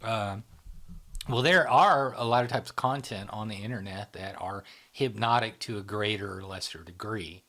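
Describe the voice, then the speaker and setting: A man's voice: lowish voice, normal speed, a bit bright. A man hosting a podcast, explaining ideas in a loose, rambling way with many fillers and tag questions. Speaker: podcast host. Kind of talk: casual explanatory chat.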